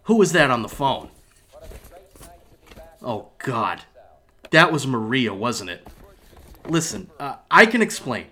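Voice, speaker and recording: A man's voice: mid-range voice, big pitch swings, slow, long pauses, sharp tone, husky, exaggerated voice. An actor in an audio drama playing a flustered, bewildered man being left by his lover, pleading and stammering. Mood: confused, pleading.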